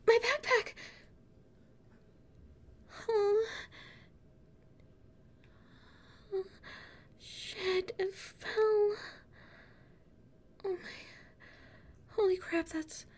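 A woman acting a weary, anxious human exploring a castle in an audio roleplay, breathy and hesitant, muttering to herself. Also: very high-pitched, extremely slow, long pauses, heavily acted. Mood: panicked.

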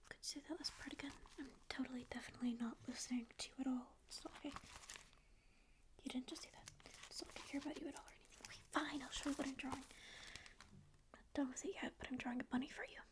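A woman's voice: sits high, fairly even intonation, rapid-fire, natural voice. A young woman whispering as a prickly sleep paralysis demon who insists she doesn't care but keeps listening and reassuring, close to the mic in a small dim room. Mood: grumpy, curious. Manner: whispering, curt denials that soften into reassurance. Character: prickly sleep paralysis demon, secretly caring, faux-apathetic. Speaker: ASMR roleplayer. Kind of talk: whispered ASMR roleplay. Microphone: close studio mic just off-frame.

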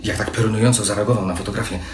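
A young man playing an evasive, talkative husband who works as a train conductor, making smooth excuses, recorded on a phone at home. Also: lowish voice, steady tone, rapid-fire, natural voice. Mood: dismissive. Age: young adult. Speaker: sleeping-car train conductor. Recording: roomy home room, faint hiss.

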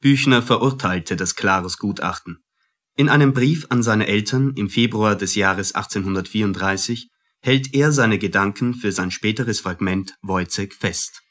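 A man narrating a scripted documentary introduction in a measured, factual manner. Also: fairly low pitch, a bit bright.

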